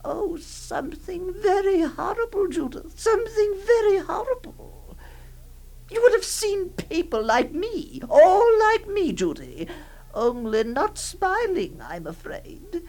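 A woman acting a doting, possessive aunt who comforts a young girl in a hushed, tremulous, pleading voice, in a dramatic monologue. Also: very high-pitched, big pitch swings, slow, exaggerated voice, rough recording. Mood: ominous.

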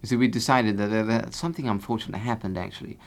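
A man's voice: lowish voice, fairly even intonation, fast talker, close to an everyday voice. A man playing a pompous singer who rambles on and keeps correcting his partner in a comic radio interview. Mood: grandiose. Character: pompous man, self-styled bass singer. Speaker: half of a singing duo.

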